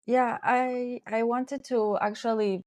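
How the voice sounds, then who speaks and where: A woman's voice: fairly high pitch, steady tone, takes it slowly, darkish tone, no room sound. A woman co-hosting a podcast over an online call, chatty and friendly, with small hesitations. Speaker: podcast co-host. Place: home in France, online call. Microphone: video call.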